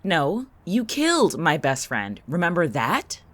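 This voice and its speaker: A woman's voice: fairly low pitch, wildly swooping pitch, unhurried, fairly bright tone, no echo, exaggerated voice. A woman in an audio drama playing a weary, bitter, defiant young man, curt and sardonic. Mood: exasperated.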